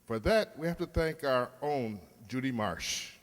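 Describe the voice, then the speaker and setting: Male announcer, arch and measured, lively intonation, reverberant hall with faint noise. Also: medium pitch. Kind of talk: scripted radio play announcing, wry.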